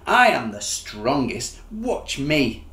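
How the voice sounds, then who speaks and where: A man's voice: medium pitch, big pitch swings, takes it slowly, pushed and bright, reverberant, exaggerated voice. A man voicing a proud, boastful, easily annoyed lion in a children's storybook, with a pompous, blustering manner.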